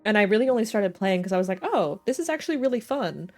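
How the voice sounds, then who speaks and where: A woman's voice: mid-range voice, sing-song, fast talker. A woman livestreamer giving casual, joking, slangy reactions as she plays a game. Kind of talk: casual game playthrough commentary.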